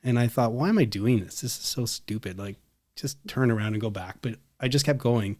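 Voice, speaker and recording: A man's voice: medium pitch, brisk, a little mellow. A middle-aged man with a Canadian accent, chatting casually and telling rambling anecdotes as a podcast co-host. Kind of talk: casual chat.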